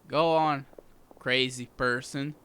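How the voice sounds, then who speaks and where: A woman's voice: very deep voice, steady tone, slow pace, fairly bright tone, a little hiss or hum. A woman doing casual let's play commentary, reacting aloud in a playful way. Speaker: gaming streamer. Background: game music.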